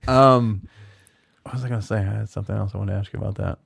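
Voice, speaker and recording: Gravelly, low-toned American man hosting. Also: steady tone, fast, no echo, clean studio sound. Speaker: podcast host, interviewer. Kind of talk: casual interview chat. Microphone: close studio microphone with foam cover.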